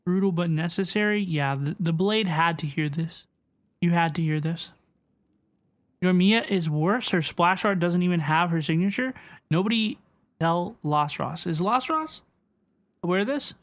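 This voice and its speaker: A woman livestreaming a game, chatting casually with viewers in an animated, repetitive way as she reacts and reads chat. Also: lowish voice, normal speed. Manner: animated, repeats questions, reads chat aloud. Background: game music.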